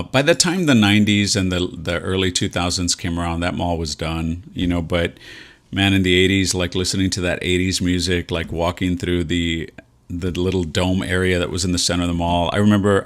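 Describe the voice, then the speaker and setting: A man in his forties with an American accent, reminiscing casually to viewers in a folksy, rambling, nostalgic way with a somewhat monotone voice. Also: low-pitched, fairly quick. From San Antonio, Texas, USA. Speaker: vlog host and real estate agent. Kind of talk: casual reminiscing walk-and-talk vlog.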